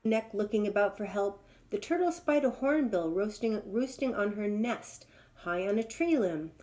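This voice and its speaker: A woman's voice: medium pitch, lively intonation, normal speed, darkish tone. A woman storyteller reading a children's tale aloud in a dramatic, emphatic way.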